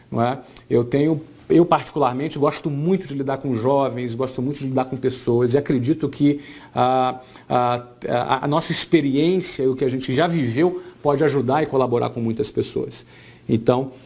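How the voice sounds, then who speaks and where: A man's voice: mid-range voice, a little mellow. Brazilian man in his forties speaking informally. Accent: Brazilian Portuguese. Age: 40s. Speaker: entrepreneur giving a talk. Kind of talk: informal motivational business talk.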